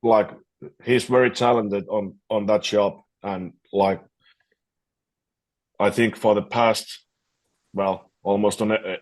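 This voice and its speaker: A man's voice: on the low side, steady tone, slow, pauses often, low-quality recording. A man, a metal guitarist, talking casually in an interview over a video call and often repeating words as he thinks. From Finland.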